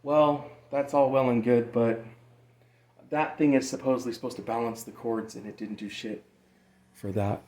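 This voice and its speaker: Guy w a soft mellow voice doing a rambly casual gear walkthrough, swears a bit. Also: mid-range voice, fairly even intonation, moderate pace. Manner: rambling, loosely explanatory, profane. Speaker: amateur music gear demonstrator. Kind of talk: casual hands-on tutorial chat.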